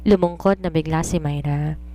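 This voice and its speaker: Lady narrating a romance story, voice a bit on the low side, audiobook style. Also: steady tone, moderate pace, mellow. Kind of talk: scripted third-person narration of romance fiction.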